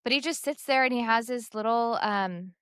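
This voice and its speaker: A woman's voice: a little high, normal speed, fairly bright tone, clear, resonant tone, dry recording, clean studio sound. A young woman co-hosting a podcast, chatting casually and enthusiastically about sports and lifestyle. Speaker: podcast co-host.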